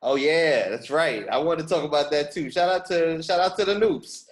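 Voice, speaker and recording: A man's voice: fairly high pitch, normal speed. Affirming man hosting a casual podcast. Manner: affirming interjections, echoes the guest's points. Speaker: podcast host. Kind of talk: casual conversational interviewing.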